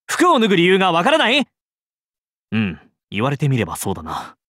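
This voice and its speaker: A boisterous, goofy young knight from a video game. He is comically earnest and exclamatory, swinging widely in pitch on a dry recording. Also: wildly swooping pitch, brisk, bright, forceful tone, no room sound, heavily acted. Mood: bemused, casual. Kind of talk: scripted game character dialogue.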